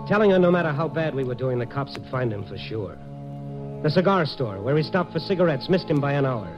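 A man's voice: mid-range voice, lively intonation, fairly quick, close to an everyday voice. A male actor as a hard-boiled American newsman from a 1950s radio play. He is terse, impatient and world-weary, his mid-range voice pushing forward urgently. The old broadcast sound is muffled, with music underneath. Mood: tense, weary. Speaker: lead actor and narrator. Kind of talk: 1950s radio drama acting.

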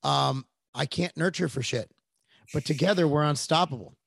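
A man's voice: on the high side, moderate pace, creaky, a bit bright, breathy edge, no room sound. A middle-aged man hosting a political podcast, blunt and emphatic, swearing casually as he talks. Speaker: podcast host.

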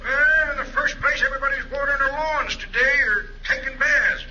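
An actor playing a cranky, sarcastic rustic reservoir worker in a 1940s radio comedy, folksy and wisecracking. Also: male voice, very high-pitched, fairly quick, sharp tone, heavily acted. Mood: irritable. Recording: noisy muffled 1940s radio recording.

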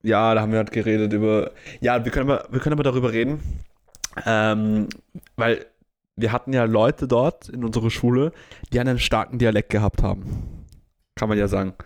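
A man's voice: on the low side, steady tone, a little fast. A young man with an Austrian German accent co-hosting a podcast, chatting animatedly with many fillers and stumbles. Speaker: podcast co-host. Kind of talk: casual chat.

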